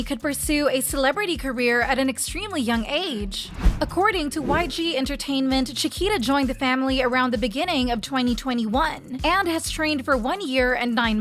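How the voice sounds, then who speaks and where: A woman giving a steady, informative scripted narration for an explainer video. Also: high voice.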